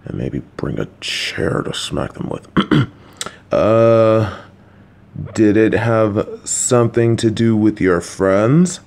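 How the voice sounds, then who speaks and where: Man voicing a middle-aged father, gentle, reassuring, unhurried, slightly low voice, jokey dad humor. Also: very animated, exaggerated voice. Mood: calm, coaxing. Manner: patient, coaxing, reassuring; jokey asides. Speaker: voice actor in an audio roleplay. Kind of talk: listener-directed audio roleplay.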